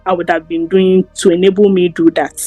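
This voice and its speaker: A woman's voice: lowish voice, flat delivery, brisk, warm tone, dry recording, soft background music, poor audio. A young Ghanaian woman with a Ghanaian accent, talking earnestly as an interview guest, often repeating words. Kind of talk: casual interview.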